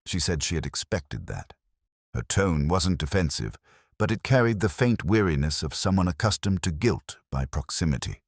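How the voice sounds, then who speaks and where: Man acting melancholy detective narrator, very low voice, expressive rise and fall, measured, literary, scripted radio mystery. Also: dry recording, studio quality, heavily acted. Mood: wistful, reflective. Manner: measured, literary, brooding delivery.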